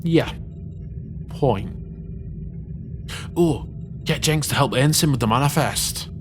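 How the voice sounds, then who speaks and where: A man's voice: mid-range voice, slow pace, over music, lightly acted. A man playing an easygoing, teasing starship chief in a sci-fi audio drama. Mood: wry, casual.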